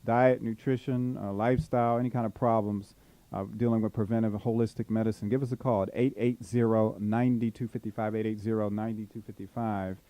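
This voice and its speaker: A man's voice: on the low side, flat delivery, brisk, warm tone, dry recording. A man co-hosting a radio call-in show, announcing the phone number again and again and inviting listeners to call. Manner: repetitive, promotional call-to-action delivery. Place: radio studio.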